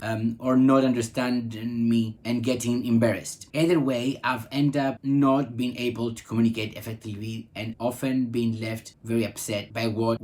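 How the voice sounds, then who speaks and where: Man reading form guidance at home. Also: mid-range voice. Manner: reads aloud with frequent restarts and self-corrections. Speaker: host. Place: living room at home, indoors.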